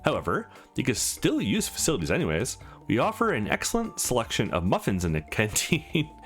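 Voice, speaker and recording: A man's voice: medium pitch, huge pitch swings, brisk, soft background music. A man voicing a polite, matter-of-fact attendant at a space station dating service in a game, speaking formally. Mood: polite, informative. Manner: formal, scripted customer-service phrasing.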